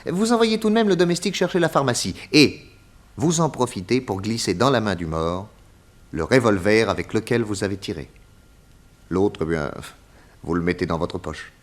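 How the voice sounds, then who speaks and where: Actor as animated, commanding male detective. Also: lowish voice, normal speed, natural voice. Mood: methodical, assertive. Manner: theatrical, methodical, commanding, courteous. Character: confident male private detective exposing a murderer. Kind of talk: 1960s French radio crime serial acting.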